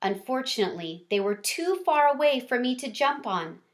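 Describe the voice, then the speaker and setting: A woman storyteller reading a children's chapter book aloud in an expressive way, stressing key words. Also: high voice, normal speed.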